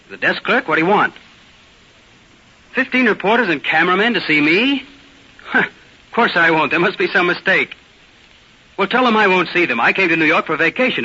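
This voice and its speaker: An impulsive, lovestruck adventurer in old American radio drama style. His voice is intense and raspy, with emphatic, clipped lines. It sounds like a dull vintage broadcast. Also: sits high, pushed and bright, full character voice. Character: hot-headed, lovestruck American adventurer back from South America. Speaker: actor in a radio play.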